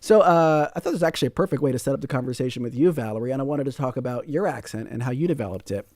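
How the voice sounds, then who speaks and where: A man's voice: medium pitch, expressive, very fast, a little mellow, no echo, clean studio sound. A man hosting a public radio call-in show, talking in a friendly way and reading out listener comments. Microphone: studio microphone.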